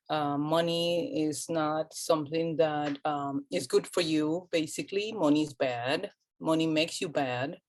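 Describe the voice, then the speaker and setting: A woman from Colombia, talking as an interview guest, pausing often with ums and restarts. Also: deep voice, darkish tone. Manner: hesitant, many ums and restarts. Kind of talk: casual interview conversation.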